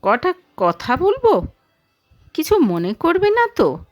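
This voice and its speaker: Woman doing a teasing wistful young guy in a love story audio drama, probing, slow and very expressive pitch. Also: faint music behind, exaggerated voice. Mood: earnest, concerned. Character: young man, wistful, teasing former lover.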